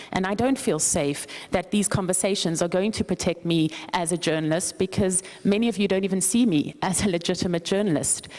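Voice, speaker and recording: A woman's voice: medium pitch, darkish tone. A woman with a South African accent making a firm, assertive statement from the floor at a conference. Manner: firm, assertive, prepared argument. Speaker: conference participant, journalist.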